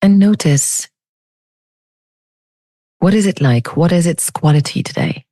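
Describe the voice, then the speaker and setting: A woman leading a guided meditation as a teacher, speaking in a soothing, unhurried, gently inviting way. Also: lowish voice, dry recording, studio quality.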